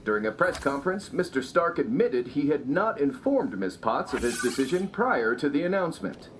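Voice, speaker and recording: Man acting a slick, animated TV news anchor. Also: mid-range voice, normal speed, slight background noise, natural voice. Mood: brisk reporting. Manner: polished, punchy broadcast delivery. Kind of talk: scripted news report inside a video game.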